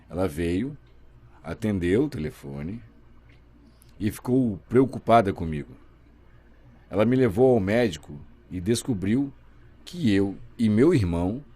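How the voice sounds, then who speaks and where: A man voicing a deaf guest's signing in the first person, telling his story in a lively, expressive way. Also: lowish voice, breathy edge. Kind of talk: simultaneous voice interpreting, first person.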